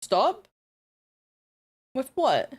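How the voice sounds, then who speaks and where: A woman's voice: sits high, huge pitch swings, very slow, breathy edge. A woman with a New Zealand accent, chatting casually and rambling on a live stream while she builds models, self-deprecating and swearing now and then.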